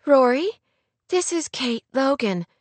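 Woman playing a high-pitched, distraught young woman. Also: expressive, slow pace, no echo. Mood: accusing, hurt. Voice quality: trembling, tearful. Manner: sharp, demanding, near hysterical. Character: distraught, angry young woman, sarcastic and pushy.

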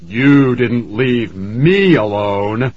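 A man's voice: lowish voice, slow pace, breathy edge, no room sound, highs cut off. A man voicing a vengeful male ghost villain in a children's horror audiobook, menacing and declamatory. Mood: raging.

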